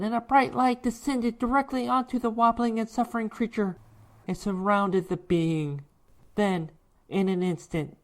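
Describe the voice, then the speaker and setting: A man reading a short story aloud as dramatic scripted narration, in the role of storyteller. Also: a little high.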